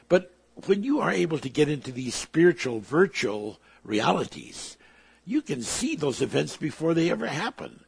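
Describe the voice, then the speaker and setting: A man's voice: mid-range voice, no room sound. A man preaching a sermon, emphatic, with his volume swelling and dropping. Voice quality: gravelly, husky.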